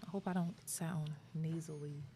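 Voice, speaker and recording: Brief: woman guest, stuffy nose, gravelly tone, casual banter, noisy background. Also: low-pitched, steady tone, normal speed, warm tone. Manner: chatty, playful. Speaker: podcast guest. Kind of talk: casual chat before recording. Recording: noisy room ambience.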